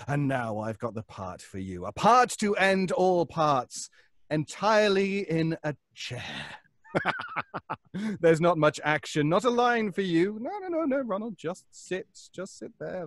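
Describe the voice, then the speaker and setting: A man's voice: on the high side, dry recording, clean studio sound. A man playing a vengeful, mad failed actor in old-time radio suspense style, taunting his trapped rival with theatrical mock courtesy. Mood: gloating, menacing.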